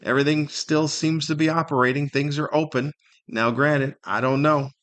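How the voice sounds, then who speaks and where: A man's voice: a little high, steady tone, normal speed, fairly bright tone. A middle-aged or older man hosting a solo podcast, rambling casually with wry humor and plenty of rhetorical questions. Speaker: podcast host.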